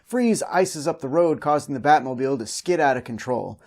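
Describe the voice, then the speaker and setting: A man's voice: mid-range voice, animated, moderate pace, a little mellow. A man hosting a recap and review show, delivering scripted comedic narration.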